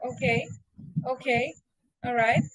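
A young adult woman acting as an English test examiner, prompting a candidate slowly and repeating model answers over a video call. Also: a little high, steady tone, poor audio. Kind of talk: mock speaking test, coaching.

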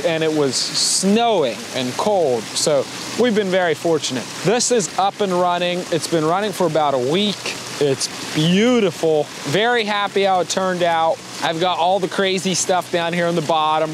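A man giving a casual, rambling, self-deprecating project update to camera as a landscaper vlog host, outdoors. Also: fairly high pitch. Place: outdoors by a driveway waterfall. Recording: clearly noisy outdoor audio with running water.

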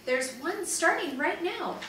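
A woman's voice: very high-pitched, animated, takes it slowly, fairly bright tone, voice pushed up, full character voice. A woman voicing an eager, pleading young boy from a children's storybook. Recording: distant mic, very echoey room, noisy.

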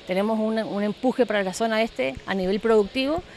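A woman's voice: fairly high pitch, fairly quick, a little mellow. A woman in her forties with an Argentine accent gives an unscripted interview answer as a local councillor, into a handheld microphone outdoors. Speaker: city councillor being interviewed. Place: outdoors by a building. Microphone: handheld reporter microphone. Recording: clear outdoor ambient noise.

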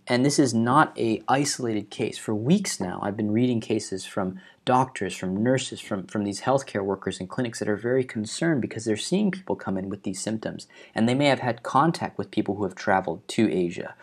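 A man's voice: on the low side, moderate pace, hardly any pauses, darkish tone, slightly rough. A young man from Hawaii in his twenties, talking earnestly to a webcam in his living room as he walks through the latest news and stresses the key numbers. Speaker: video host. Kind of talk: explanatory news commentary. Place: living room at home, indoors.

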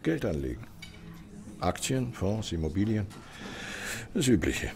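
An actor playing a courteous, guarded middle-aged widowed ambassador in a radio crime drama, speaking formally and with measured hesitation. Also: male voice, lowish voice, very animated, gravelly, darkish tone, exaggerated voice. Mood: defensive, formal.

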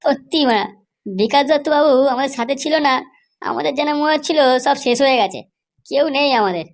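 A man's voice: very high-pitched, lively intonation, fairly quick. A man voicing an eager, deferential gangster's henchman who chatters and complains in a comedy cartoon. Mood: complaining, relieved.

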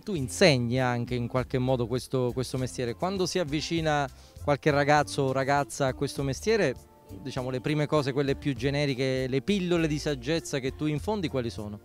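A man's voice: mid-range voice, moderate pace, faint music behind. A middle-aged man, hosting a casual interview outdoors in an informal, chatty way. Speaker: interviewer, host. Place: outdoors, garden table and beach. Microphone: handheld microphone, speaking through a face mask.

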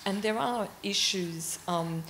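An older woman on a panel in a large auditorium, answering thoughtfully with frequent pauses and ums into a handheld mic. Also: medium pitch, a bit bright, slight background noise, poor audio. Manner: thoughtful, halting with many ums. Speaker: panelist, library director.

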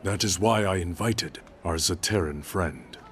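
An actor playing a stern, resolute warlord in a fantasy game, speaking formally and gravely with commanding weight. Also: male voice, low voice, unhurried, fairly bright tone, a little hiss or hum. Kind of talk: dramatic fantasy game acting.

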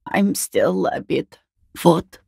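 A tired, worried middle-aged woman, a working-class mother in a dramatic video game. Her voice is low and gravelly, and she speaks slowly and plainly, with halting, subdued delivery. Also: sing-song, mellow, dry recording, studio quality, exaggerated voice. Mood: shocked, distressed. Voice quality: husky, gravelly.

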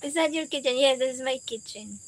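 A woman's voice: high voice, fairly quick, slight background noise. A woman in her thirties with a Filipino accent, a Waray speaker, chatting casually with viewers on a livestream from her kitchen and laughing often. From Philippines. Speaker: livestream host. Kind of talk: casual livestream chat reading viewer comments. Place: small home kitchen with tin roof, evening. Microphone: phone camera close up.

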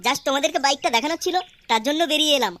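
Woman as squeaky fast-talking cheeky cartoon boy. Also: fairly bright tone. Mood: gloating, teasing. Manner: boastful, teasing. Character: cheeky boastful boy, Futo, showing off. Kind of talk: Bengali comedy cartoon voice acting.

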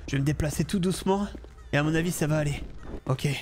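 Expressive high-voiced man commenting on a game. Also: quick pace. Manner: expressive, excitable. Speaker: gaming video host and role-player. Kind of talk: animated gameplay commentary.

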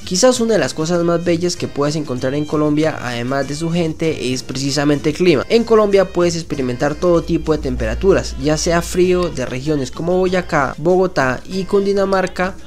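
A man's voice: on the high side, expressive, normal speed, hardly any pauses, darkish tone, faint music behind. A man narrating a scripted numbered list video as its host, lifting his voice at each new item. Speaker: channel host. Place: voice-over over stock footage.

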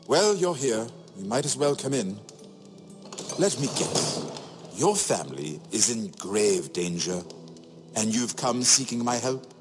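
An actor playing a wry, shrewd middle-aged imprisoned nobleman in a video game, sardonic and theatrical, phrasing each remark deliberately. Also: mid-range voice, very animated. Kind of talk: dramatic video game dialogue. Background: music.

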